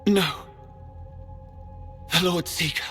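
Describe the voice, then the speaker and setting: An actor playing a dying, betrayed knight in a video game, weakened and strained with pain. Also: male voice, high voice, fairly even intonation, takes it slowly, fairly bright tone. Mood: bitter. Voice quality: gravelly, strained. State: in agony, weakened. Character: dying male knight, tormented, bitter, betrayed. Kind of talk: dramatic video game acting. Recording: music behind the voice.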